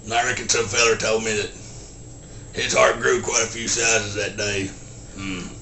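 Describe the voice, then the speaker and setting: Halting rural Southern simpleton storyteller, forceful edge, monotone, grunting hums, film-parody style, faint background noise. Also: male voice, lowish voice, reverberant. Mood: deadpan, plodding. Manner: halting, deadpan, grunts "hmm" between short sentences. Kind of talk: folksy storytelling, film character impression.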